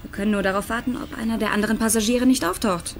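A woman's voice: a little high, fairly even intonation, fast, close to an everyday voice. Polite, apologetic female cruise receptionist played by an actress. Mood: apologetic, firm. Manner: courteous, formal, composed. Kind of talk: naturalistic German radio drama acting. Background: music.

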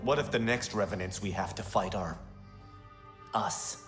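A man's voice: on the low side, unhurried, faint music behind. An actor playing a wry, quipping young male monk warrior. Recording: roomy game cutscene, loud score.

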